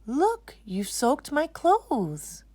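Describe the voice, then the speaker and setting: A woman playing a patient, gently correcting mother in a children's storybook, explaining and instructing. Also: sits high, wildly swooping pitch, extremely slow, no room sound, voice pushed up, exaggerated voice.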